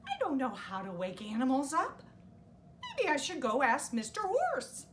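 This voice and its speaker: A woman voicing an anxious little male rooster in a children's story, talking in a high, excitable, hurried way. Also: a little hiss or hum, full character voice. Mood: flustered.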